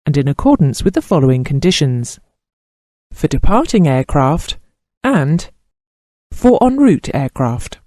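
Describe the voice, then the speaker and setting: A man reading scripted instructional narration in a formal, measured manner, like a course instructor. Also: fairly high pitch, big pitch swings, mellow, no room sound, studio quality.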